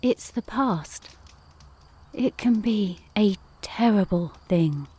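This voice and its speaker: An actress playing a gentle, wry mother in a fantasy audio drama, murmuring in a hushed voice. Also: medium pitch, expressive, slow pace, husky. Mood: somber. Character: gentle, wry Norse mother awake at night.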